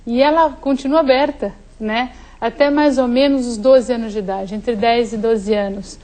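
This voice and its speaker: A woman neuropsychologist calmly explaining on a talk show how the brain builds connections. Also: on the high side, normal speed. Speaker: neuropsychologist, guest. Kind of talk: studio interview.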